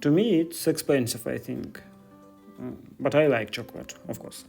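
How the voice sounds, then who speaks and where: A man's voice: mid-range voice, moderate pace, vocal fry, darkish tone, faint music behind. A man in his thirties, a native Russian speaker with a Russian accent, chatting curiously in broken English as he vlogs from stores on a handheld phone. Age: 30s. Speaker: vlogger. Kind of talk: casual walking vlog. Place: stores in the city.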